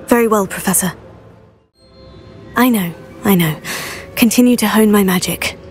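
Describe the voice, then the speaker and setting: A woman's voice: mid-range voice, normal speed, faint music behind, close to an everyday voice. An actress playing a polite, eager young student witch in a fantasy game. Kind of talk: scripted fantasy game dialogue.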